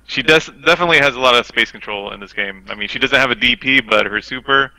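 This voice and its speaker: A man's voice: mid-range voice, fast talker, pushed and bright, no room sound, low-quality recording. A man casually discussing a fighting game, explaining things in detail as a guest on a talk show.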